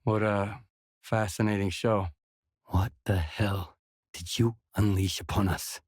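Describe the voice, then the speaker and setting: An actor playing a gruff, cynical and weary stalker in a video game, terse and sardonic in manner, with a husky voice. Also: male voice, on the low side, fairly even intonation, takes it slowly, no room sound, clean studio sound. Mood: grim, weary. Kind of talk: video game voice lines, dramatic acting.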